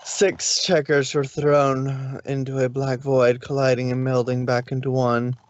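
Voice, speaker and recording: A man narrating a fan fiction story aloud in a relaxed way, stumbling now and then. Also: mid-range voice, unhurried. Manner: relaxed reading with slips and restarts. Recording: dull dry lo-fi stream audio.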